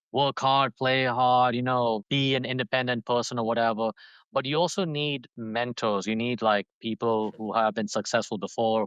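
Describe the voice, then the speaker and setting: A man's voice: fairly low pitch, fairly bright tone, clean tone, dry recording, lo-fi sound. A man from Dubai in his thirties, a podcast guest talking casually and rambling, with lots of fillers and restarts. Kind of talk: casual interview talk.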